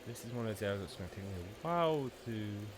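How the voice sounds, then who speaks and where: A man's voice: fairly low pitch, animated, fast, background noise. A man with a stuffy, cold-congested voice, giving rambling, casual commentary while streaming a video game and often swearing.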